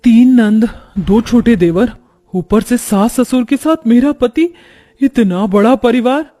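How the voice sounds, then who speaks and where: Man as a high-pitched young new bride. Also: slow pace, warm tone, faint music behind, full character voice. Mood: dismayed. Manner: plaintive grumbling. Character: young new bride, weary, complaining, dutiful.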